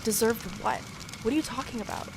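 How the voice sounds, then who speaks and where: A woman's voice: fairly high pitch, quick pace, creaky, heavy background noise. A young woman playing a scornful, bossy student in an audio drama, with a mocking, incredulous manner. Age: young adult.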